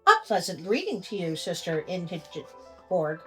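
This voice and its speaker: A woman plays a looming storybook giantess who speaks slowly in formal, old-fashioned phrases. Her voice swings between low and very high, with music playing behind her. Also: sing-song. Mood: formal, probing. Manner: formal archaic greetings and questions. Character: terrible giant sisters of a queen, looming and greedy.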